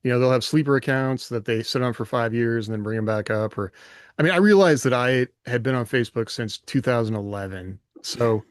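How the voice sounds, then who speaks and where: A man's voice: medium pitch, fairly quick, dry recording, clean studio sound. A man co-hosting a casual podcast chat, speaking informally as if thinking aloud. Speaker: podcast co-host and fiction writer.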